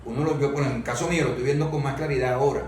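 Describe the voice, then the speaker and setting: Halting Venezuelan man in a very echoey room. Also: medium pitch, steady tone, a little mellow. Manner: halting, repeats and restarts words. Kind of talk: political discussion. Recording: very echoey room, distant mic, faint noise.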